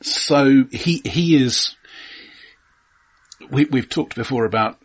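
A man's voice: mid-range voice, takes it slowly, husky. A man co-hosting a podcast, talking thoughtfully about a film in casual chat, often repeating his first words. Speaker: podcast co-host.

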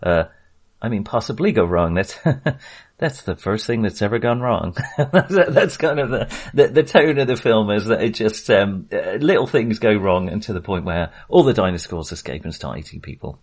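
A middle-aged man with a fairly low voice chats casually as a film podcast co-host. He speaks haltingly, circling back and restarting as he goes. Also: expressive, breathy edge. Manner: hesitant, halting delivery with false starts; chuckles often while talking.

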